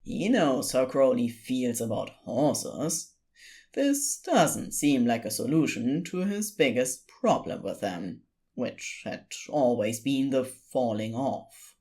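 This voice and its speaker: Fiction narrator: unhurried, deliberate read with highly varied intonation. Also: big pitch swings, a little mellow. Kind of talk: scripted story narration.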